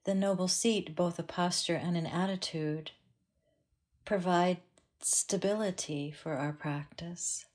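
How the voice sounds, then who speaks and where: A woman teaching a guided meditation in a calm, measured way with long pauses. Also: lowish voice.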